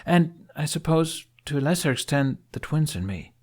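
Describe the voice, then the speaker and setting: A man voicing an earnest young woman Viking warrior in an audiobook, speaking in a measured, thoughtful manner. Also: on the high side, lively intonation, voice pushed up. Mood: thoughtful, sympathetic. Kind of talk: audiobook character acting.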